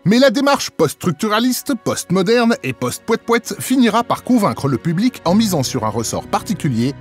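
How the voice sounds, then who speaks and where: High-pitched man narrating with lively swings. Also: normal speed, faint music behind. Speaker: narrator of culture magazine. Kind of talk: scripted voice-over narration.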